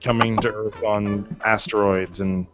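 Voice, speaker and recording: A man's voice: fairly low pitch, little pitch movement, unhurried, lo-fi sound, over music. A man hosting a casual movie chat, telling a long story in a rambling way and leaning heavily on "like.". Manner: rambling storyteller, many "like" fillers. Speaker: host.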